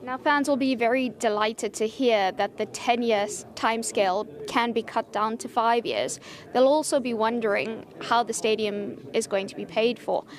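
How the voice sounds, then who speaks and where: A woman with a fairly high voice delivers broadcast sports news. She speaks as a seasoned reporter, measured and emphatic, leaving dramatic pauses between phrases. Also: fairly even intonation.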